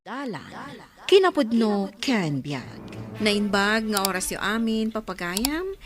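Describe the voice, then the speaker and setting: A woman's voice: medium pitch, sing-song, takes it slowly, over music. A woman hosting a radio programme, reading a scripted introduction and scripture. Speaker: programme host.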